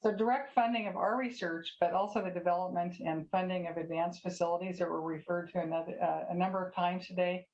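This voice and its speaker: Low-voiced woman scientist on dull audio. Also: steady tone, fast, few pauses, darkish tone. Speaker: scientist panelist. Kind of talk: panel answers. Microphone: video call.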